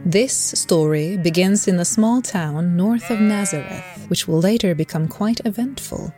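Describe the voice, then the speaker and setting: A woman playing an unearthly, knowing storyteller in an audio drama, measured and dryly wry. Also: fairly low pitch, dark tone, soft background music, natural voice. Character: unearthly storyteller who speaks as a divine voice to prophets. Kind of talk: dramatic scripted narration.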